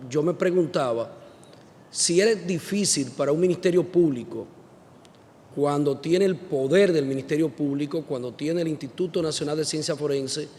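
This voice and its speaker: A man's voice: a little high, a little mellow. A man from the Dominican Republic, a parliamentary delegate, putting an earnest, emphatic formal question from the floor of a conference. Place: large conference hall. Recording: echoey hall, faint noise.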